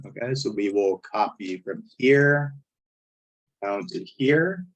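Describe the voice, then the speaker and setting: A man with a hoarse, failing voice who often clears his throat, walking step by step through a statistics software tutorial over a screen recording. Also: mid-range voice, lively intonation, slow, lo-fi sound. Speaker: statistics instructor. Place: indoors at a computer, voice-over on screen capture.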